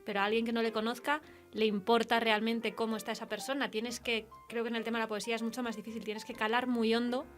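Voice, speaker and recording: A woman's voice: fairly high pitch, level tone, quick pace, few pauses. Female radio talker, Castilian accent, conversational and reflective, light music bed. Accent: Castilian Spanish. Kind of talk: casual literary conversation.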